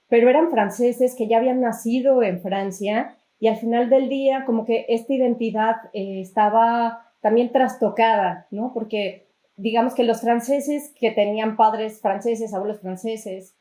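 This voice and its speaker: A woman's voice: a little high, warm tone. A woman with a Mexican accent hosting a podcast, explaining things conversationally with strings of examples. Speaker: podcast host. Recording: roomy, echoing sound.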